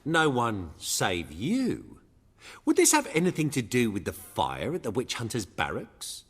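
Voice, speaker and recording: An actor playing a timid, anxious shapeshifter in a video game, earnest and hesitant, with a slightly husky high voice. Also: male voice, unhurried, long reverb tail, full character voice. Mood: wary, doubtful. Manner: hesitant, expressive, fretful.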